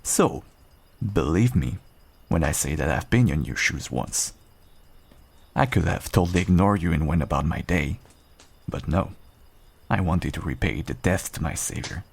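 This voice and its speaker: Man playing a gruff, deep-voiced half-wolf rogue. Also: animated, heavily acted. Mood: earnest, reflective. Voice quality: low, gravelly, husky. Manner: unhurried, dry, understated, self-deprecating. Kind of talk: intimate fantasy roleplay audio acting.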